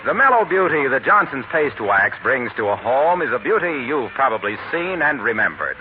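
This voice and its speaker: A man's voice: medium pitch, sing-song, moderate pace, phone-quality sound, soft background music. A man announcing and reading advertising in a polished, emphatic 1940s radio style on an old broadcast. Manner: polished, emphatic sales delivery.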